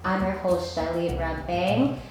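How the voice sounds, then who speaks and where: A woman's voice: on the low side, steady tone, a little slow, darkish tone, faint music behind. Woman podcast host, friendly, lots of mm-hmms, super echoey room. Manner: friendly, lots of encouraging backchannels. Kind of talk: casual interview chat.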